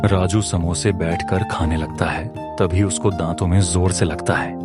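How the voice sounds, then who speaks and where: A man narrating a children's cartoon moral story in a steady storybook manner. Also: low-pitched, moderate pace, loud music behind the voice.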